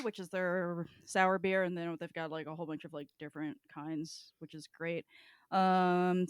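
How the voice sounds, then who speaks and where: A woman's voice: mid-range voice, smooth, no room sound. A woman co-hosting a casual podcast, informal and giggly in her way of talking. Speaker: podcast co-host. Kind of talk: casual chat.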